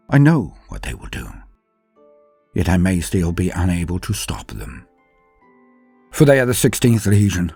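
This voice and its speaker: Man, low-pitched, grave scripted sci-fi war narration, brooding commander, deliberate dramatic pauses, roomy echo, faint music underneath. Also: darkish tone, breathy edge. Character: grim, brooding gene-enhanced space marine commander. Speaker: lore channel narrator.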